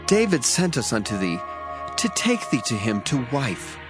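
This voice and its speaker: An actor playing a loyal, earnest servant soldier in a dramatized Bible, speaking formally. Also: male voice, mid-range voice, very animated, moderate pace, music in the background, lightly acted. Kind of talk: dramatized Bible reading, archaic English.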